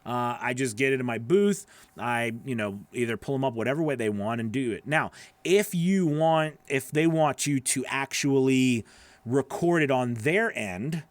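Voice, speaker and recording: A man's voice: medium pitch, normal speed, no room sound. Casual man coaching, dry close-mic sound. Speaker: host and voice-over coach. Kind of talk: casual livestream chat, answering viewer comments. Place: small home studio with acoustic foam. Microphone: broadcast microphone on a boom arm, up close.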